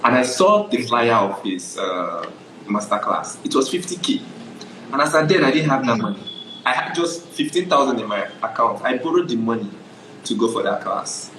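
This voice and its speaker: A man's voice: mid-range voice, big pitch swings, moderate pace, a bit bright, echoey room, a little hiss or hum, low-quality recording. A young adult Nigerian man, a photographer guest, telling his story in a casual interview, often checking "do you understand?".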